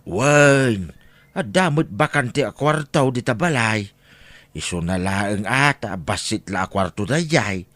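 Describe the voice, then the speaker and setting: A man's voice: lowish voice, lively intonation, slow pace, a bit bright, no echo. A man playing a helpful, folksy villager with an Ilocano accent and an exclamatory way of talking in an audio drama. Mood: concerned. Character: helpful, folksy male villager with a big heart.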